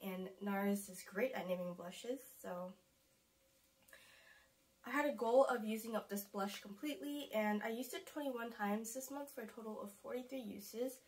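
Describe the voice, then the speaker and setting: A woman's voice: fairly high pitch, moderate pace. A young woman with a stuffy, congested voice from a cold, chatting casually to camera as a beauty vlogger, rambling and correcting herself.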